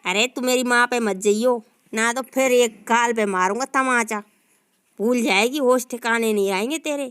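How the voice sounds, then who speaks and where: A woman's voice: on the high side, steady tone, unhurried, fairly bright tone, clear, resonant tone, voice pushed down. A woman voicing a crude, blustering young husband in a comic sketch. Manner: blustering, threatening.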